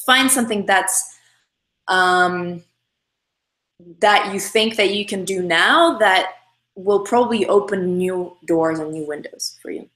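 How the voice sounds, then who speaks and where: A woman's voice: medium pitch, takes it slowly, pauses often, a bit bright. Chatty young woman, roomy echo. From Russia. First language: Russian. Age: young adult. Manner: chatty, upbeat, many fillers and restarts. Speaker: livestream host. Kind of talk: casual livestream chat answering comments.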